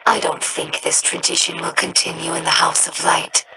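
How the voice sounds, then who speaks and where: An actress voicing a young female alien scholar in a video game, earnest and reflective, with a breathy rasp and a slow, solemn delivery. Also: lowish voice, completely flat delivery, noisy background, close to an everyday voice. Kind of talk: game character dialogue.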